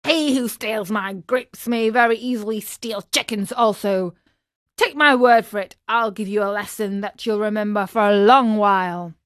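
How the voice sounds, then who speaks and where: A woman voicing an angry, rough, stern male farmer in a children's storybook, speaking in a threatening manner. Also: medium pitch, moderate pace, no echo, studio quality, natural voice.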